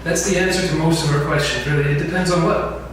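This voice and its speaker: Man, lecturer, slightly high voice, booming echo, far from the mic, faint hiss. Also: steady tone, fast talker. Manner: asks the room questions. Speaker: instructor. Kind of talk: info session lecture. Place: large echoey lecture room. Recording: very echoey room, distant mic, faint noise.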